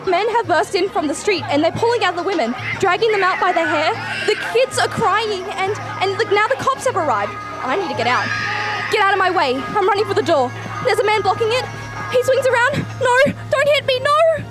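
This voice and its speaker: A naive, breezy young woman reporter. Her voice is very high and chatty. It is radio drama, with light music underneath. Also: animated, fairly quick. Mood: panicked. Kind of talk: radio play acting.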